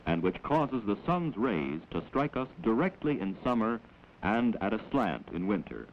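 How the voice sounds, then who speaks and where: Animated low-voiced 1940s American film narrator, male. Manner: measured, explanatory, instructive. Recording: dull, noisy old 16mm film soundtrack.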